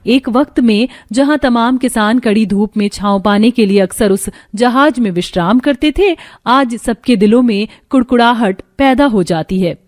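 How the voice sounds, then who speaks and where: High-voiced woman narrating a scripted story. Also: moderate pace, hardly any pauses, a little mellow, no echo, clean studio sound.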